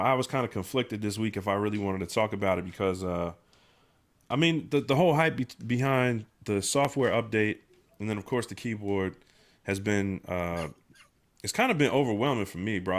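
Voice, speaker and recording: Low-voiced guy with a stuffy nose chatting on a livestream, chill and slangy, lots of um and uh. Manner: laid-back, slangy, many fillers and restarts. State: nasal from a sinus infection. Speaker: livestream host. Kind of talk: casual livestream chat.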